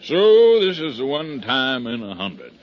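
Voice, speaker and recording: An actor playing a genial, unruffled man with a folksy, unhurried manner in a 1950s radio drama. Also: medium pitch, very animated. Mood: genial, resigned. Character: genial, unruffled man facing a legal fight. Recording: dull 1950s radio recording, background noises.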